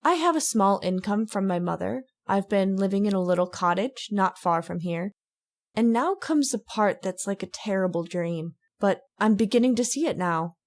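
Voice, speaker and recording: Woman doing scared haunted lady, pleading and halting, middling pitch, old radio play. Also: lively intonation, close to an everyday voice. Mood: sorrowful confiding. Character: distraught, haunted young woman confessing, earnest and fearful. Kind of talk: old-time radio drama acting.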